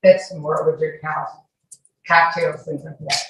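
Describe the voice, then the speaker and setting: A woman's voice: sits low, level tone, unhurried, pushed and bright. A woman testifying as a witness in a courtroom, answering with emphatic, defensive denials. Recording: very echoey, distant, noisy courtroom audio.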